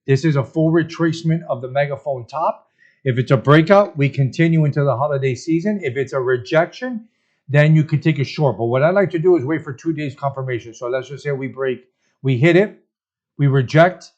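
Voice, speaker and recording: A man's voice: on the high side, a little fast, a little mellow. A man hosting an informal stock chart breakdown as a market analyst, talking conversationally and often checking in with tag questions. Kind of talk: informal trading chart commentary. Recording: dull, lo-fi voice recording.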